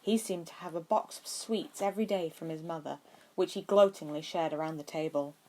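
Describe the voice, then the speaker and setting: A woman's voice: low voice, a little fast, a little mellow, low-quality recording. A woman reading a story aloud as scripted narration. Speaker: fan fiction podfic reader.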